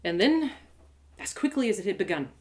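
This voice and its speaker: A woman reading a first-person story aloud live, as its storyteller, in a dramatic, expressive way. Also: mid-range voice, a little fast. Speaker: author and storyteller.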